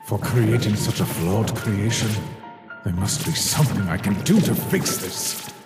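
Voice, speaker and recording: A man performs a cold, guilt-ridden monster scientist for a dramatic comic dub. He speaks slowly and theatrically, with wide swings in pitch, in a long reverb over music. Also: animated. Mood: regretful, self-blaming. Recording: echoey reverberant voice with background music.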